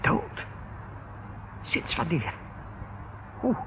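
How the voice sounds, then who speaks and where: A woman's voice: extremely slow, a little hiss or hum. An actress playing a proud, shady woman under arrest, dramatic and emphatic, in a Dutch radio play on a dull old recording. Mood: shocked disbelief.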